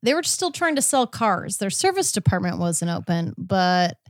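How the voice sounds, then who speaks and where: A woman's voice: mid-range voice, very animated, normal speed, no room sound, clean studio sound. An American woman hosting a podcast from home in a casual, conversational style. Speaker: podcast host. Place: indoors at home.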